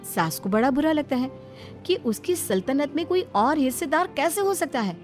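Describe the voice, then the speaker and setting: A woman giving scripted narration for an animated moral bedtime story. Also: sits high, normal speed. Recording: music behind the voice. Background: background music.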